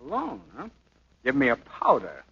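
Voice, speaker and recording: A man's voice: medium pitch, wildly swooping pitch, normal speed, gravelly, a bit bright, breathy edge, full character voice. An actor playing a jealous, bullying male dance partner with tough-guy slang, in the style of 1940s film acting. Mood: taunting. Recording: dull lo-fi old film soundtrack.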